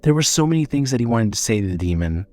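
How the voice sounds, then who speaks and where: A man narrating a scripted horror audio drama in a measured, dramatic storytelling manner. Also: mid-range voice, sing-song, warm tone, no echo, faint music behind.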